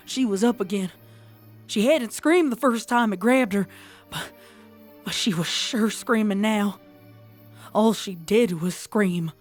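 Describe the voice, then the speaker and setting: A woman voicing a frightened, haunted young farm pony mare, stammering and halting in a dramatized horror story. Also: on the high side.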